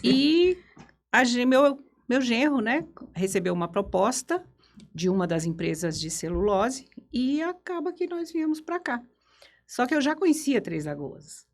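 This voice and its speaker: A woman's voice: a little high, sing-song, unhurried. An older woman from Minas Gerais with a Brazilian accent, telling stories from her life casually as a guest. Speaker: podcast guest. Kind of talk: casual storytelling about own life.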